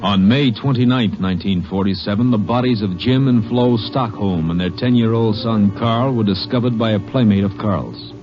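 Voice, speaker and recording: A man's voice: fairly low pitch, normal speed, few pauses, loud music behind the voice. An American man reading scripted, factual narration for a 1950s radio drama. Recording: muffled vintage radio recording.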